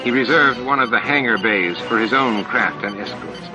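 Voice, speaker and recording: A man's voice: medium pitch, moderate pace, rough recording. Male audiobook narrator over music. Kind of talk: scripted third-person narration of science fiction. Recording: music and sound effects underneath. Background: music, sound effects.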